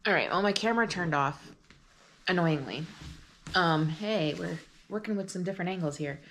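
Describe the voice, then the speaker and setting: A woman chatting casually in a rambling home vlog, her voice deep and groggy from just waking up. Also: normal speed, fairly bright tone, a little hiss or hum. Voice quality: deep, gravelly. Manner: rambling, chatty, full of fillers. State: groggy morning voice. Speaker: vlogger. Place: her apartment.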